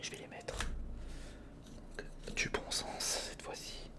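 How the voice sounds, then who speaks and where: An ASMR creator whispering informal, step-by-step commentary to the viewer while building something by hand, close to the microphone. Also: slow. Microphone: close recorder or studio microphone.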